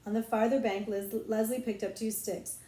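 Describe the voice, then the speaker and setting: A woman reading a children's novel aloud as expressive, dramatic narration. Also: on the high side, normal speed. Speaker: audiobook reader, librarian. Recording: roomy echo.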